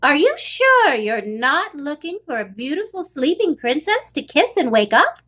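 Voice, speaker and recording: Woman putting on a high-pitched suspicious storybook witch. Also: sing-song, measured pace, sharp tone, heavily acted. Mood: suspicious, dismissive. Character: fussy nagging witch, wary of princes, storybook villain.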